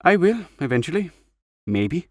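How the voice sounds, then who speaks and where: A man's voice: on the high side, big pitch swings, a little slow, dry recording, studio quality, voice pushed up. A man voicing an older woman, a wry and protective veteran sniper, for a storybook narration. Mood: weary, firm.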